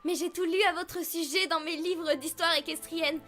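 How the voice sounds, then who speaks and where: Woman playing a young cartoon pony girl, very high bright voice, earnest, eager, adoring, faint music behind. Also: steady tone, moderate pace. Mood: eager, admiring. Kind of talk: animated cartoon dub.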